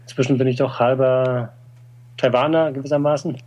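A man's voice: mid-range voice, steady tone, a little fast, darkish tone, lo-fi sound. German-speaking man explaining with many fillers. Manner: explanatory, frequent "äh" and "ne?". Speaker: TCM practitioner. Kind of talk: conversational interview.

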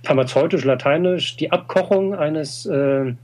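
A man's voice: a little high, unhurried, a little mellow. A middle-aged man from Germany answers interview questions at an easy, explanatory pace. He pads his sentences with hesitations and tag questions, building his points step by step. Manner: explanatory, frequent "äh" and "ne?". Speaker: TCM practitioner. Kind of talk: conversational interview.

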